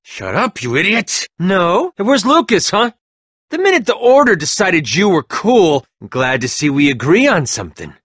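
A cocky, sneering young gang leader taunts his rivals with mocking laughs in a game. His voice swings widely in pitch and has a bright, sharp edge. The studio recording is dry and close. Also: sing-song, moderate pace, husky, no room sound, exaggerated voice. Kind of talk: video game voice acting.

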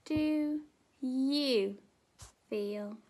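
Young female nursery teacher for story time: gentle, expressive delivery to little ones, very unhurried, with noticeable vocal fry. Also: high voice. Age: young adult. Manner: expressive, gently addressing small children. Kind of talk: children's story reading. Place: indoor room at home.

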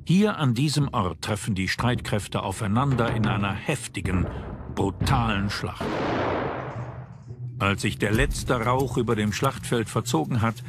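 A man reading scripted documentary narration in a measured, dramatic storytelling manner. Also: lowish voice. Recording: music bed behind voice. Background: background music.

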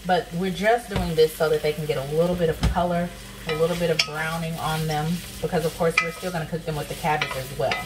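A woman's voice: low voice, fairly even intonation, fast talker. A young woman, chatting casually through a cooking demo in her home kitchen and calling viewers honey and sis. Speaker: cooking vlogger. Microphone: camera nearby, speaker off to the side. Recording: kitchen with frying noise. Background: sizzling pan and stirring.

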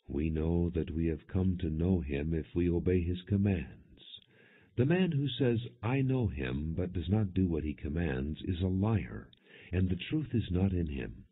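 A man narrating an audio Bible in a measured, solemn reading with deliberate phrasing. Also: sits low, breathy edge. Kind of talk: non-dramatized Bible reading. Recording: muffled, phone-like narrow-band audio.

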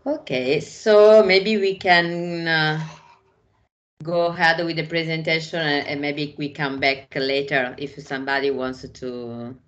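A woman's voice: low voice, steady tone, a little slow. A woman presenting an online art training course over a video call, speaking hesitantly with many fillers and restarts in English that is not her first language. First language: Italian. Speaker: webinar trainer.

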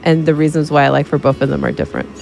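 A woman with a fairly low voice chats casually on a remote call, rambling and leaning on filler words. Faint game music plays behind her. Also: sing-song, rapid-fire, gravelly, a little mellow. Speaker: speedrun commentator. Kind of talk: casual chat.

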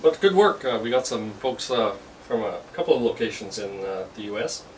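A man's voice: lowish voice, animated, moderate pace. A man in his fifties, an amateur radio operator, making radio contacts and commenting casually to camera. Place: small radio shack room indoors. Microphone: camera across the room. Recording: noisy room, distant camera microphone. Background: loud hiss and radio noise.

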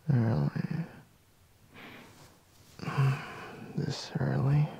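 Man acting as a slow, gravelly, sleepy boyfriend. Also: medium pitch, dark tone, heavily acted. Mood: groggy, reluctant. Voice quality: breathy, husky, gravelly, half-whispered. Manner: drowsy, drawling, murmuring with sighs. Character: sleepy, grumbling, teasing young boyfriend woken in bed. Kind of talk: ASMR roleplay, intimate. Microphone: close microphone, dry.

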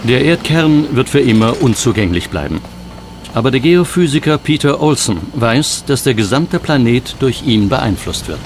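A man reading scripted documentary narration in a measured, dramatic way. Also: medium pitch, lively intonation, noisy background. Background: background music.

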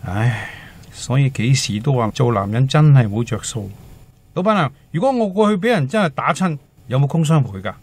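A man's voice: mid-range voice, lively intonation, moderate pace, slightly rough. An actor playing a talkative, timid male waiter with a Hong Kong accent and comic exaggeration in a radio drama. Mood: grumbling. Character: chatty, timid young male teahouse waiter, eager joker.

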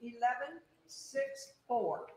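A woman's voice: on the high side, lively intonation, takes it slowly, a little hiss or hum. A woman working as an auction clerk, giving brief call-outs of bidder numbers. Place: indoor auction room. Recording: distant from the microphone.

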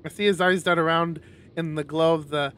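A man reading a visual novel aloud on a stream in an expressive narrating style. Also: fairly high pitch, fairly quick.

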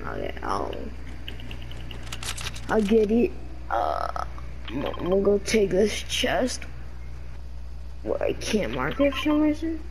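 A young woman chatting casually while playing an online game, excitable and chatty, her voice leaping into high yelps when danger comes. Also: slow, gravelly, pauses often, low-quality recording. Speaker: gamer streaming her play. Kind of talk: casual gaming chat. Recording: game audio noise behind.

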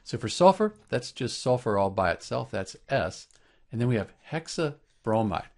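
A man's voice: mid-range voice, big pitch swings, normal speed, darkish tone. A man narrating a chemistry lesson as a teacher, explaining step by step in a scripted instructional style. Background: voice-over for a screen tutorial.

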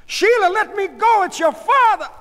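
An older wife, acted out by a male story reader, fretful and pleading, her pitch pushed high and swinging. She fusses in short exclamations that ring in a reverberant hall. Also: very animated, measured pace, full character voice. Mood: frantic. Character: excitable, anxious older wife, fretting and fussing.

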